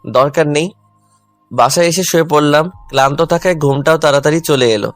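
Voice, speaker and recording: Guy telling a love story in first person, kinda high voice, music behind. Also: fast talker. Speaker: story narrator and lead voice. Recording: music under the voice.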